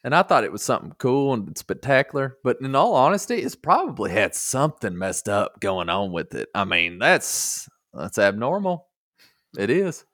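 A man's voice: mid-range voice, sing-song, normal speed, a bit bright, dry recording, studio quality. A man chatting casually in a folksy, joking way as a podcast host. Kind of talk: casual chat.

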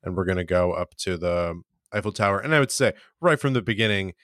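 A man's voice: low voice, lively intonation, a little fast, a bit bright, no room sound, studio quality. Chatty man recapping with chuckles. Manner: rambling, chuckling, filler-heavy. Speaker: podcast host. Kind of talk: casual episode recap.

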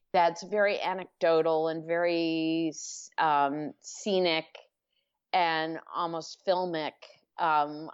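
Woman guest author, unhurried and low-voiced, thinking aloud in a muffled lo-fi recording. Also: smooth. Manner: thoughtful, circling with restarts and fillers. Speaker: author being interviewed. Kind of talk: reflective interview answers. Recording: dull lo-fi audio.

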